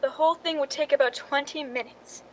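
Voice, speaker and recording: A woman's voice: high voice, fairly even intonation, fairly quick, slight background noise, natural voice. An actress playing a wry, knowing film stand-in who confides her story in a radio drama, in an understated way. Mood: confiding, wry. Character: wry, cool young woman, film stand-in and scheming narrator. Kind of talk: radio drama acting with confessional narration.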